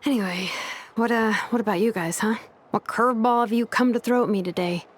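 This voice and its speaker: A woman's voice: fairly high pitch, brisk, breathy edge. A woman playing a weary, sardonic young inventor in a video game, talking in a dry, casual way with muttered asides.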